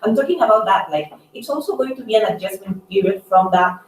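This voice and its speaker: Female staff doctor for a conference call, halting and conversational, distant mic with faint room noise. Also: medium pitch, animated, reverberant. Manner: halting, frequent "like" and "right?". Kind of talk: advisory conference call talk.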